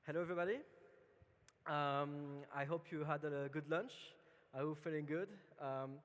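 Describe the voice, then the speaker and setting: A man from France with a French accent, giving a relaxed, chatty conference talk with many fillers and restarts. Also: fairly high pitch, moderate pace. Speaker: conference speaker. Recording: long hall reverb.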